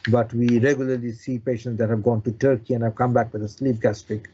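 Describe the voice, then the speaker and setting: Guy from bangladesh, doctor explaining stuff in an interview, soft dark tone, keeps restarting words, lo-fi sound. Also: medium pitch, little pitch movement, a little hiss or hum. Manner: halting, repeats words and restarts often. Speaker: consultant bariatric surgeon, interview guest.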